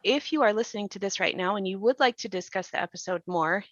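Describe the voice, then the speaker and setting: A woman's voice: mid-range voice, quick pace, no echo. Woman podcast host, dry muffled recording. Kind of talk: reflective podcast discussion.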